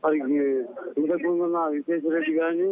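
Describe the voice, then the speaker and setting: A man's voice: fairly high pitch, level tone, quick pace. A man making political remarks in an interview, on a narrow, thin recording. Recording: thin, phone-like narrow band.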